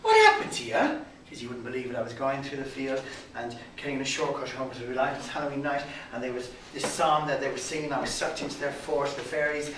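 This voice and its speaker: A man with an Irish accent voicing a kind, humble hunchbacked man who talks high, breathless and excited. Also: fast talker, natural voice. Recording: roomy, distant mic, background noise.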